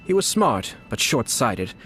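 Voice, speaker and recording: An actor playing a shrewd, courteous young nobleman with formal, measured speech in a video game. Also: medium pitch, very animated, a bit bright, faint music behind. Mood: calmly shrewd. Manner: formal, measured, politely calculating.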